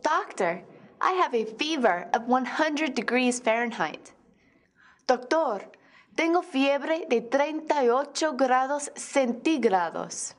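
A woman's voice: high voice, animated, takes it slowly. A woman reading as a patient with a cold. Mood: complaining. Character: adult patient describing symptoms.

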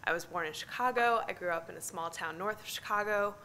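Bright-voiced Midwestern woman giving a talk. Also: lowish voice, quick pace, a little hiss or hum. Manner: candid, self-deprecating, many fillers. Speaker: conference speaker. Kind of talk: personal talk at a science meeting. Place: large conference hall.

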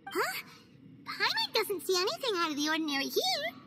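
A woman voicing a tiny, excitable female fairy companion in a game, squeaky and whiny, speaking of herself in the third person. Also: normal speed, background noise, full character voice. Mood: excited. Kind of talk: video game cutscene voice acting. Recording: game audio with loud music underneath.

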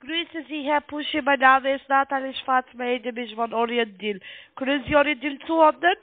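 A woman's voice: sits high, normal speed, no echo. A woman speaking non-native German with a foreign accent, stuffed up with a cold, working as a telephone sales caller. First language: not German. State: congested with a cold. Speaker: call center trading sales caller. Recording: muffled band-limited phone line.

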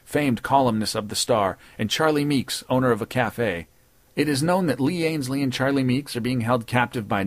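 Wisecracking columnist guy, a man doing the voice, smug and needling, mid pitch, dry. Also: no room sound, lightly acted. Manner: taunting, sardonic. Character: cocky wisecracking male newspaper columnist.

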